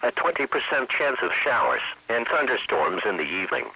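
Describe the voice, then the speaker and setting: A man's voice: mid-range voice, sing-song, a little fast, slightly rough, no room sound. An automated male announcer reading scripted forecasts in a formulaic, impersonal synthetic voice. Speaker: automated weather radio announcer. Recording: dull lo-fi weather radio stream.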